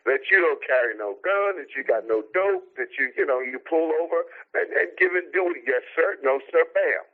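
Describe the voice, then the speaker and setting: A man's voice: a little high, lively intonation, moderate pace. An American man in his sixties giving his opinions in a live radio discussion, speaking emphatically and rhetorically. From United States. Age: 60s. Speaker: radio show guest. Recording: narrow, tinny telephone line on radio.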